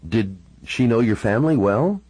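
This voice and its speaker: A man's voice: mid-range voice, animated, moderate pace, darkish tone, husky, no echo, voice pushed up. A man voicing a concerned, plain-spoken, cautious man who speaks in short questions, as audiobook dialogue. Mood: curious.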